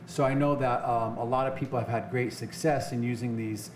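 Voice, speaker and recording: A man's voice: medium pitch, fairly even intonation, brisk, a little mellow. A man hosting a casual interview show, chatty and joking, asking questions and chiming in. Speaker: talk show host. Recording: roomy echo, clear background noise.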